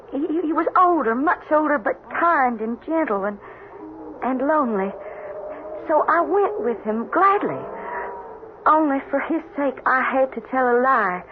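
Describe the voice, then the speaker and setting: High-voiced sorrowful young widow. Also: female voice. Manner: earnest, halting, emotional. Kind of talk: 1970s radio melodrama acting. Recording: tinny old radio broadcast, faint noise.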